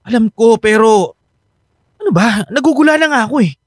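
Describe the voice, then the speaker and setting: An actor playing an irritable, evasive husband in a Tagalog radio drama, with a Filipino accent and halting delivery. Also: male voice, very high voice, animated, no room sound, studio quality. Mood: frustrated, evasive. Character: exasperated, conflicted husband dodging his wife's questions.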